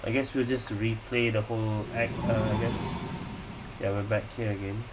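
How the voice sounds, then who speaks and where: A woman's voice: a bass voice, fairly even intonation, moderate pace, very noisy. A woman giving casual, laid-back gameplay commentary in short muttered asides. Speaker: gaming video creator. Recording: game music loud behind voice.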